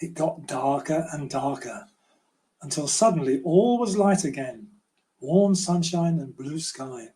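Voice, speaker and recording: An older man reading a children's storybook aloud in a gentle, unhurried way. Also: a little high, animated. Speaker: children's author reading own book.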